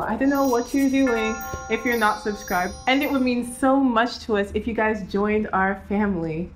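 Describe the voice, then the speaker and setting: A young woman in her twenties with a Nigerian accent, chatting about hair care in a lively, playful vlog with slangy asides. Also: medium pitch, slight background noise. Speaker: natural hair vlogger. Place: indoor home studio.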